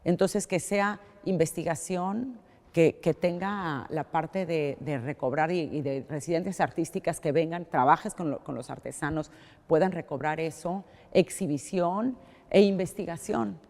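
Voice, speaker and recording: Low-voiced Mexican woman in a reverberant hall. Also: unhurried, darkish tone. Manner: thoughtful, with many restarts and fillers. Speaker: art collector. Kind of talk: interview answer.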